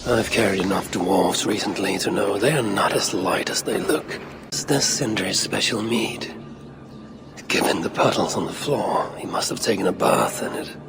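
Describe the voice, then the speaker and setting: An actor playing a brooding, resolute Norse warrior god in a video game, speaking gravely and deliberately in a gravelly voice. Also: male voice, on the low side. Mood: musing, investigating. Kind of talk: video game dramatic acting and musing. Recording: loud background ambience and noise.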